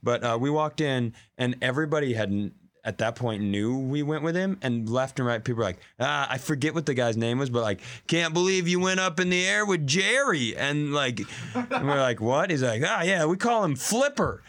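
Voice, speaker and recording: A man's voice: medium pitch, animated, moderate pace, a bit bright. Joking, profane man telling casual stories. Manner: profane, incredulous, riffing asides. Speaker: comedy podcast co-host; stand-up comedian. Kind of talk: casual comedic storytelling and banter.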